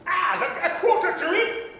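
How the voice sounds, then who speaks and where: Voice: very high voice, normal speed, gravelly, pushed and bright, exaggerated voice. A performer playing a crotchety, nervous old male miser who stammers and gets flustered, in a theatrical 1930s radio drama style. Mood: befuddled muttering. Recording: very echoey hall livestream with hiss.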